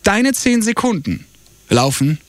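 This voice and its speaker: A man's voice: high voice, sing-song, unhurried, no room sound. A man hosting a live radio game show in an upbeat, informal way, often repeating words. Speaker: radio host. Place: radio studio. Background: music bed.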